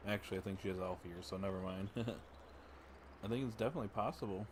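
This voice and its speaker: A man livestreaming a game, giving casual, low-key commentary in brief musing asides. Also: brisk, noisy background. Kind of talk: casual commentary while playing. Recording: game music behind the voice.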